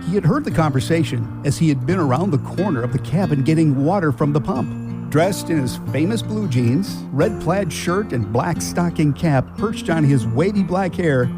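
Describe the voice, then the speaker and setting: A man's voice: fairly high pitch, animated, normal speed. A man narrating a scripted children's story as the narrator of a radio drama. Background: guitar music.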